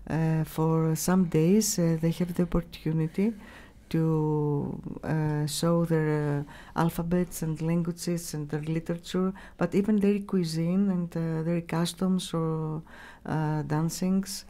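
A middle-aged woman with a Greek accent, giving halting, unscripted interview answers as she searches for words. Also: low-pitched, fairly even intonation, dark tone. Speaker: radio station staff member, interviewee. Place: small room, radio studio. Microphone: studio mic on boom arm.

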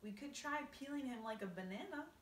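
A woman's voice: fairly high pitch, animated, a little fast, voice pushed up, natural voice. A woman doing a kindly old grasshopper. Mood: thoughtful. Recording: roomy, distant, faint background hiss.

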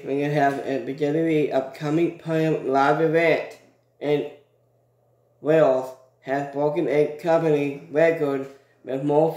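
A young man hosting a wrestling news channel, reading updates to his webcam slowly and carefully, with repeats, in a small room. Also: fairly high pitch, a little mellow. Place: small indoor room with ceiling lights. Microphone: webcam at desk, low angle. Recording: echoey room, webcam mic.

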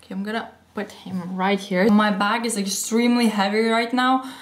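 A woman's voice: mid-range voice, flat delivery, moderate pace, roomy sound. A young woman in her twenties, a little throaty from a cold, chatting eagerly about what she carries in her handbag. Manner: chatty, gushing, a little breathless. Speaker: beauty and fashion vlogger. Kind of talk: casual what's-in-my-bag chat. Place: sunny room at home, indoors. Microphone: camera facing her, sitting close.

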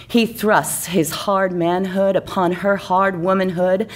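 A woman's voice: mid-range voice, steady tone, slow pace. A woman reading her own comic story as narrator, in a florid, mock-serious romantic style. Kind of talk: mock-romantic scripted narration for a live comedy story night.

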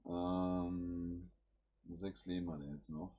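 A man with a cold hosts his gaming channel from home. His voice sits very low and comes out husky. He talks through each move with casual fillers. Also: flat delivery, slow, dark tone. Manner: thinks aloud while playing, with fillers. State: has a cold. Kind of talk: casual gameplay commentary.

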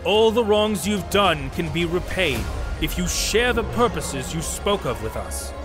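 A man's voice: sits high, lively intonation, a little slow, over music, voice pushed up. A man voicing a resolute, earnest heroic knight with formal, solemn delivery in a fantasy audio drama. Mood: earnest appeal.

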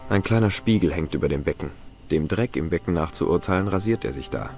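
Low-voiced, wry young man. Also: expressive, a little fast, darkish tone, soft background music. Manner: dry, courteous, earnest. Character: polite, curious, wry young American man investigating mysteries. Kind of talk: video game dialogue and wry narration.

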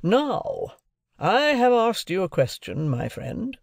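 Man acting a brusque high-pitched domineering lawyer. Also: sing-song, gravelly, no room sound, studio quality, full character voice. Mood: interrogating. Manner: cross-examining, emphatic, deliberate. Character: brusque, domineering middle-aged male lawyer guardian. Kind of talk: audiobook character dialogue.

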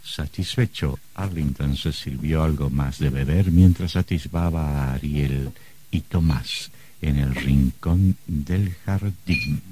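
A man narrating a suspenseful radio drama in a solemn, measured, theatrical way. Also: rumbling low, background noise.

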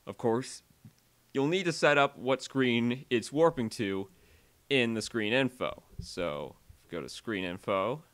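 A man narrating a software tutorial voiceover, halting and often repeating or correcting himself as he explains. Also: medium pitch, expressive, dry recording.

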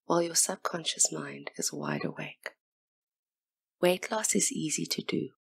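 A woman guiding a hypnosis meditation in a soft, breathy near-whisper, with soothing, gentle, unhurried instruction. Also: sits low, dry recording. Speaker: hypnotist and session guide.

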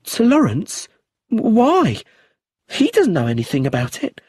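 A man voicing a frightened young widow in an audiobook, talking high, shaky and pleading. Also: animated, a little fast, darkish tone, breathy edge, no echo, clean studio sound. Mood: suspicious.

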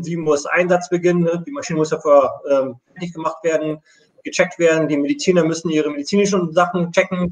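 A man's voice: on the high side, rough recording. A middle-aged man explaining things step by step on a live video call, as an air rescue station manager. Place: room at home.

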